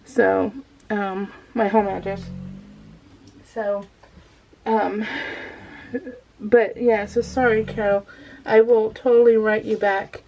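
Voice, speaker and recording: A woman's voice: mid-range voice, flat delivery, slow pace, creaky, pauses often. A woman hosting a casual craft vlog, chatting off the cuff in a rambling, gushing way and often interrupting herself. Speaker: craft video host. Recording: dull lo-fi home recording, faint noise.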